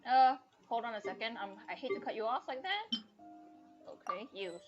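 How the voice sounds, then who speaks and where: A woman's voice: fairly high pitch, sing-song, normal speed, muffled recording. A woman doing casual live gameplay commentary, muttering to herself and swearing offhandedly, with game music behind her. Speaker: game streamer.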